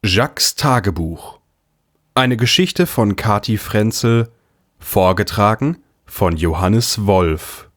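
A man's voice: fairly low pitch, lively intonation, slow pace, dry recording, clean studio sound. A man giving a scripted title announcement as the announcer of a story.

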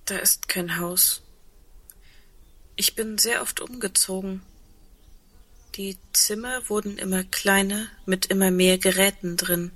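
A woman playing a resigned, composed but fearful woman in an intimate audio drama, halting and often dropping into a hush. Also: mid-range voice, fairly even intonation. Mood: wistful.

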